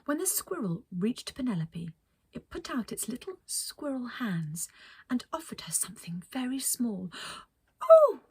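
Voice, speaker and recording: A woman reading a children's story as a storyteller, expressive, with dramatic pauses. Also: a little high, breathy edge, dry recording, poor audio. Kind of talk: scripted children's story narration.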